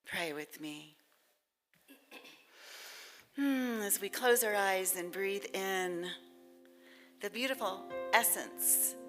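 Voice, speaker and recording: A woman reading inspiration and leading spoken prayer at a church service, reverent and deliberate, with long pauses. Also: medium pitch, fairly bright tone.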